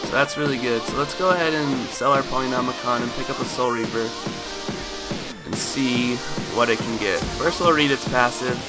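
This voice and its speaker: Just-woken, raspy man over loud music. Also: medium pitch, normal speed, poor audio. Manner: relaxed, lots of fillers and hedges. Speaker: gaming video host. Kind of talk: casual game commentary.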